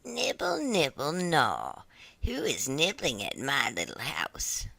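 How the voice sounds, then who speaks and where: A narrator acting ancient storybook witch, soft, slow, creaky, falsely sweet, coaxing, close dry sound. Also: medium pitch, huge pitch swings, dry recording, heavily acted. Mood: whispering, sly. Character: ancient wicked witch feigning sweet kindness.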